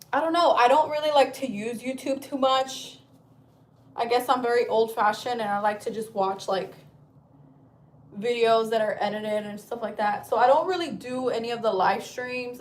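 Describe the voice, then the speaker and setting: A woman in her thirties, from Chile, chatting casually on a livestream from home, rambling and swearing freely. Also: a little high. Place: at home, indoors.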